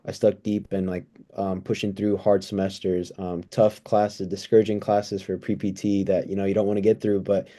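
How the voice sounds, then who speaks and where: Male interview guest, twenties, deep and very soft-toned, fairly even delivery, earnest. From United States, born in El Salvador. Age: 20s. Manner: earnest, halting, thoughtful. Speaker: interview guest, pre-physical therapy student. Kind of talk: casual interview answers.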